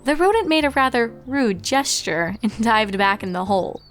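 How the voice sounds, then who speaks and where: A woman's voice: fairly high pitch, expressive, normal speed. A woman reading fan fiction aloud as scripted storybook narration, with music behind.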